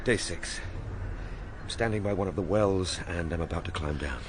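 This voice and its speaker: An actor playing an earnest Victorian time-travelling explorer in a radio drama. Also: male voice, low-pitched, normal speed, close to an everyday voice. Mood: resolute. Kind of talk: radio drama acting, spoken log. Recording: clearly noisy with ambience.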